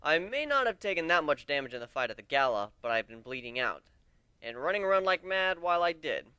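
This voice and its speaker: A man's voice: on the high side, very animated, fairly quick, sharp tone, clean tone, dry recording. A man narrating a first-person story as a dramatic reading.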